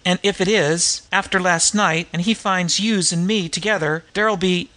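A man acts out a slangy woman of the slums from a crime storybook. The voice is pushed high and bright. She brushes everything off with dismissive scorn. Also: steady tone, moderate pace. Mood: scoffing. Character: scoffing, streetwise woman of the slums in disguise.

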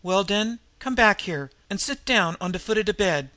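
A reader voicing a delirious female street tough who croaks out harsh, slangy threats. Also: mid-range voice, expressive, moderate pace, pushed and bright, voice pushed up. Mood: mumbling. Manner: snarling, threatening.

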